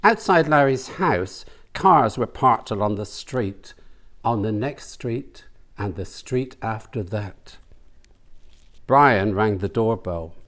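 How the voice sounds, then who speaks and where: A man reading comic fiction aloud as the author, with deliberate dramatic pauses. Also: on the low side, lively intonation, a bit bright. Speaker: author reading from own novel. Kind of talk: comic audiobook-style narration.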